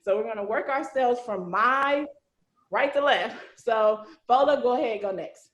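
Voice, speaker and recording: A woman's voice: on the high side, measured pace, muffled recording. A woman hosting a casual group video call in a chatty, informal way. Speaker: host of cast meetup, writer-director. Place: indoor room on a video call. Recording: roomy, echoing video call.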